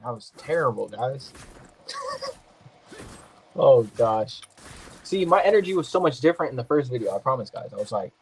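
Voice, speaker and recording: A man's voice: medium pitch, expressive, moderate pace, long pauses, slightly rough, low-quality recording. Joking slangy man, a bit nasal. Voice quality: sometimes nasal. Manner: loose, joking, slangy trash talk. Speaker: gaming podcast host. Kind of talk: casual gameplay commentary.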